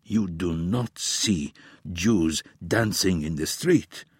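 A man voicing a frail elderly male professor in an audiobook, with a raspy, slightly unsteady voice and a slow, grave, weary way of speaking. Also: deep voice, dry recording, studio quality, heavily acted. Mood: impassioned, grave. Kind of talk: audiobook character voice.

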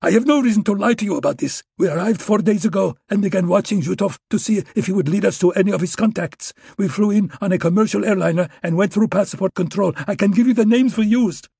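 A man's voice: mid-range voice, moderate pace, creaky, a little mellow, breathy edge, dry recording, clean studio sound, voice pushed up. A man doing a panicked Russian agent. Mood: panicked pleading. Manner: thick accent, pleading. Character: frightened male Russian agent, pleading.

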